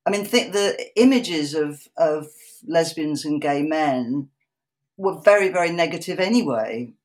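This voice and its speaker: A woman with an English accent speaking reflectively about her own life as an interview guest, haltingly, repeating words as she thinks. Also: low-pitched, animated, darkish tone. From England, in a Polish family. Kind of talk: reflective personal conversation.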